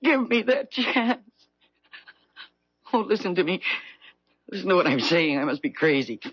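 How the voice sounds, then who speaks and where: A woman's voice: lowish voice, sing-song, unhurried, breathy edge, dry recording, heavily acted. A desperate middle-aged woman full of guilt, theatrical old radio drama, muffled dry recording. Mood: anguished pleading, then bewildered, fearful. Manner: overwrought, theatrical. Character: remorseful, desperate aging woman haunted by guilt. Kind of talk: 1970s radio drama acting. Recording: dull vintage radio broadcast recording.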